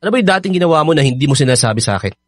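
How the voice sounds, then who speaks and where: An actor playing a suspicious boyfriend in a radio drama, curt and accusing in manner. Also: male voice, on the high side, lively intonation, racing, dry recording, clean studio sound.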